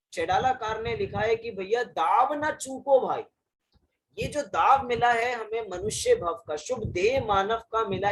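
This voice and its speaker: A man's voice: high-pitched, a little hiss or hum, low-quality recording. A man giving a religious discourse on verses, asking the audience questions, answering them himself and repeating phrases for emphasis. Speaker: Jain scholar giving a discourse.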